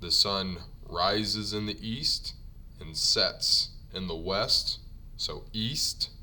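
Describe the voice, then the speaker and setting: A man who is a native English speaker teaches ironworker trade vocabulary from slides, saying each term several times slowly for learners before explaining it. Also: fairly low pitch, bright, forceful tone. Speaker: ironworker union instructor. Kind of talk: slide-based vocabulary lesson.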